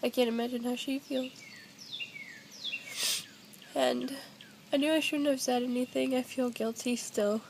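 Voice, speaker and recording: A young woman shares something personal in a halting, casual way. Her voice is high with plenty of vocal fry, and faint noise sits behind it in a simple home recording. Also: fairly even intonation, a little mellow. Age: young adult. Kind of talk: personal confessional vlog, casual talk.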